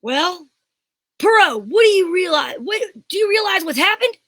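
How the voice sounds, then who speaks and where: A woman playing a flustered, excitable male police inspector in an old-time radio style drama, melodramatic and exclamatory. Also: very high-pitched, moderate pace, dry recording, clean studio sound. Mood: exasperated.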